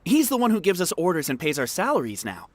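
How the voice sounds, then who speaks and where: An actor voicing an earnest, excitable young war veteran in a video game. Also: male voice, on the high side, fast, soft background music. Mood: friendly, explaining.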